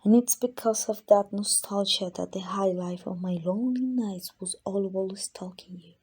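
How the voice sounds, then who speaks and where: A woman voicing an ancient, arrogant, possessive female vampire in an ASMR roleplay, condescending and teasing, with a breathy voice that dips into whispers. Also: medium pitch, fairly even intonation, normal speed, darkish tone. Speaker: amateur voice actor.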